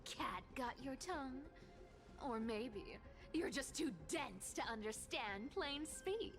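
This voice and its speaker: A woman's voice: sits high, animated, slow. A woman voicing a playful, cocky cat thief in a video game, theatrically teasing with whispers and giggles. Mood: mocking, gloating. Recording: loud game music behind. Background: game music.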